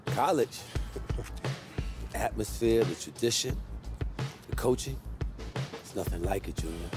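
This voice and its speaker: A man's voice: fairly low pitch, animated, unhurried. A man playing an easygoing, encouraging mentor and basketball agent in a video game, talking in a streetwise, reassuring way. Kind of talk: cinematic game dialogue. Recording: music behind the voice.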